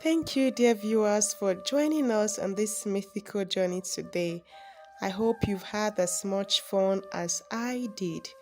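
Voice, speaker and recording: A woman tells a folktale in scripted narration, as a storyteller addressing an audience of viewers. Her pitch sits in the middle range for a woman. She takes her time with a slow delivery, and soft music plays faintly behind her. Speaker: storyteller and channel host.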